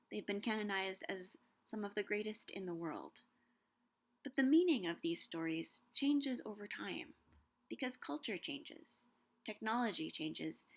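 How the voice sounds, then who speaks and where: A young woman giving a scripted, measured talk on Shakespeare and books, slowing down to savor quoted lines. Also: mid-range voice. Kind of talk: scripted educational narration.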